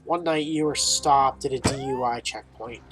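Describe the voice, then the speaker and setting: A man's voice: medium pitch, normal speed. Casual male gamer over faint music. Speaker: gaming video host. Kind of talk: casual let's play, reading game text and reacting. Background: game music.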